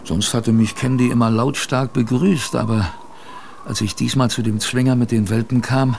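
A man playing an older adventurer who recalls his youth in a creaky voice, with wistful, conversational radio drama narration. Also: medium pitch, fairly even intonation, normal speed, darkish tone, a little hiss or hum, natural voice. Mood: reflective. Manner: conversational, wistful, reflective storytelling.

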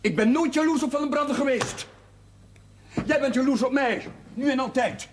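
A man's voice: very high-pitched, normal speed. An actor playing an earnest, touchy chaplain, hesitant and defensive with his sister in naturalistic television drama dialogue. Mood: defensive, indignant. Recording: faintly noisy 1970s television soundtrack.

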